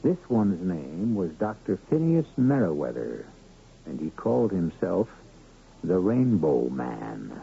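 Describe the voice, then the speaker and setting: Low, gravelly American radio narrator, man. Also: big pitch swings, slight background noise. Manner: measured, theatrical, deliberate phrasing. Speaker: radio drama host and narrator. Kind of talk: dramatic scripted narration, 1970s radio. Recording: muffled old radio broadcast. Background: loud music.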